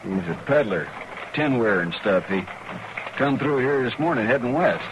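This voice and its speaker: An actor playing a surly, jealous rancher villain with gruff rustic talk in a 1950s western radio drama. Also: male voice, mid-range voice, animated, moderate pace, muffled recording, close to an everyday voice. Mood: gruff, suspicious. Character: surly, jealous male rancher, a wife killer. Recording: noisy old radio recording.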